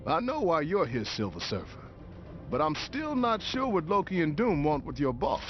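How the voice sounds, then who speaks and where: Video game commander, male: brisk, authoritative, strategic. Muffled sound over a score. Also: a little high, wildly swooping pitch. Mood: brisk, commanding. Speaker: commander briefing heroes. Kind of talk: video game cutscene acting. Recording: muffled game audio under loud music.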